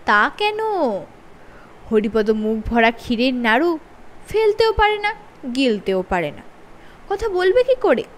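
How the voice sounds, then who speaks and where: A woman reading a funny children's story aloud as host of her own channel, with expressive, sing-song emphasis. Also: high voice, a little slow, pauses often, slight background noise.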